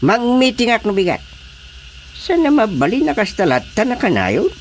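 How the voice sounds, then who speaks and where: A man's voice: high-pitched, big pitch swings, moderate pace, a little hiss or hum. An actor with a Filipino accent playing a bossy, exasperated older village chairman in a radio comedy drama. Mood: irritated, bossy. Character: grumbling, bossy male village chairman, a father.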